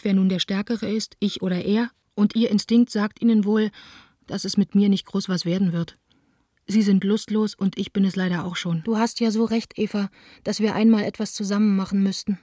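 A woman's voice: medium pitch, mellow, no room sound, clean studio sound, lightly acted. An actress playing a frustrated, defiant young theatre director who reads her letters as a subdued, confiding radio-play monologue.

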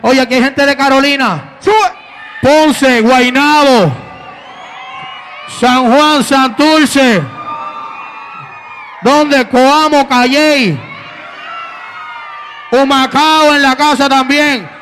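A Puerto Rican man with a Puerto Rican accent, performing reggaeton and shouting out to the crowd between verses. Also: very high voice, fairly even intonation, extremely slow, pauses often, a little hiss or hum. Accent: Puerto Rican Spanish. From Puerto Rico. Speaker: reggaeton singer. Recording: loud backing music.

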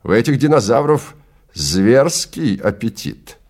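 A man voicing a blunt, provocative time-travel agency clerk in an audio story, forceful and salesman-like. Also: medium pitch, big pitch swings, unhurried, voice pushed up. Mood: blunt, goading.

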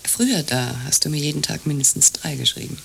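A woman's voice: deep voice, lively intonation, fairly quick, vocal fry, a bit bright, a little hiss or hum, exaggerated voice. An actress playing a needy, doubting woman in love in a radio play. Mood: plaintive. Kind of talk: German radio play dialogue.

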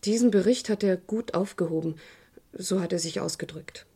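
A woman's voice: lowish voice, normal speed, dark tone, breathy edge. Composed, formal woman with a secret. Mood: grave, confiding. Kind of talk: German crime radio play acting.

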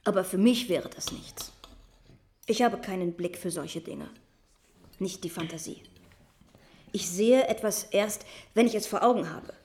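A woman's voice: mid-range voice, measured pace, pauses often, a little mellow, lightly acted. An actress playing a worldly, witty young society lady with a breezy, ironic manner in a radio drama. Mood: breezy, candid.

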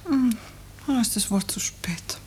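An actress playing a troubled, evasive wife in a 1950s radio drama, speaking softly and hesitantly with a husky voice. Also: female voice, on the high side, animated, measured pace, whispered. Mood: subdued, weary. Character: troubled, evasive wife hiding her illness and fear.

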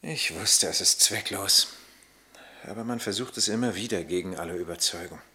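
A man playing a proud, stoic Roman army captain in a radio drama, speaking in a firm, grave, measured way. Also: sits low, bright, forceful tone. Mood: proud bitterness. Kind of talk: German radio play acting.

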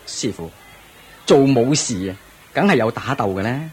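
A man's voice: medium pitch, animated, normal speed, a little mellow, breathy edge. A man with a Hong Kong Cantonese accent playing a proud, doting ancient king in a radio drama, speaking in a theatrical, regal way. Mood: questioning, uneasy. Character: proud, doting ancient Indian king, anxious about his heir.

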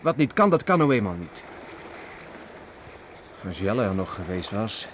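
Earnest young seafaring farmer son. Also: male voice, on the low side, big pitch swings, moderate pace. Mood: earnest, resolute. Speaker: actor in a radio play. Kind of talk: Dutch radio drama acting. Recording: slightly noisy old radio play recording.

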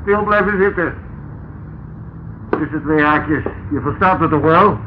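A man's voice: a little high, measured pace, close to an everyday voice. A man playing a masked intruder, tinny and hissing. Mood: coolly menacing. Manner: calm, mocking commands. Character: masked male intruder, cool and menacing. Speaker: villain. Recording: tinny old radio broadcast, faint hiss.

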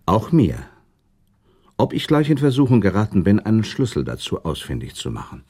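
A man's voice: lowish voice, wildly swooping pitch, moderate pace, a little mellow, husky, full character voice. A man playing a noble, sceptical prince in a German radio play, arguing insistently and reasoning with rhetorical questions. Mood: reasoning, explaining.

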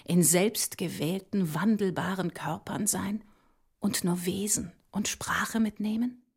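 An actress playing a visionary woman mathematician, solemn and urging, with husky, breathy speech in a poetic audio drama. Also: medium pitch, steady tone, slow pace, heavily acted. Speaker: performer in an experimental audio drama. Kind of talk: poetic scripted dialogue.

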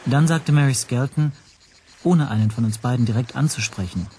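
An actor playing a reserved hotel guest who narrates a radio drama in the first person, measured and understated. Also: male voice, medium pitch, dark tone, slight background noise, lightly acted. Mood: composed, observant.

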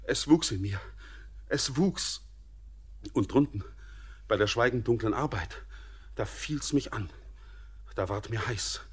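An actor playing a feverish young miner in a German radio drama, desperate and impassioned in theatrical, verse-like declamation. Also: male voice, medium pitch, lively intonation, slow pace, pauses often, slightly rough. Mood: feverish, agitated.

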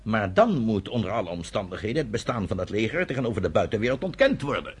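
A man's voice: lowish voice, sing-song, quick pace, slightly rough, full character voice. An actor playing a stern chairman of a secret meeting, oratorical and emphatic, in a Dutch radio play. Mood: grave, indignant.

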